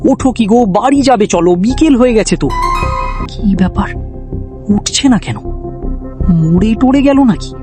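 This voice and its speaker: A woman's voice: fairly high pitch, lively intonation, normal speed, long pauses, over music, close to an everyday voice. A woman voicing a kindly, concerned village woodcutter in a folk tale, full of exclamations and questions. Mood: puzzled, concerned. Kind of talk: acted folk-tale storytelling.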